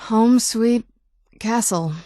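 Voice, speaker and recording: A woman's voice: fairly high pitch, slow pace, dark tone, no echo. A woman playing a youthful-seeming ancient vampire noblewoman in a video game, wry and guarded, with a dry conversational delivery. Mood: uneasy, urgent. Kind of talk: video game character dialogue.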